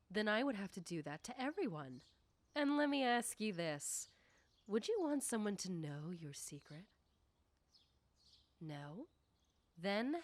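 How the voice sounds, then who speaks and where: A woman playing a confident, nosy popular high school girl in an ASMR roleplay, talking coyly and teasingly to the listener. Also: no room sound, close to an everyday voice.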